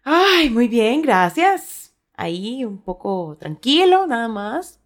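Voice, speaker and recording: A woman's voice: on the high side, big pitch swings, slow. A woman co-hosting a language lesson, presenting words and example sentences.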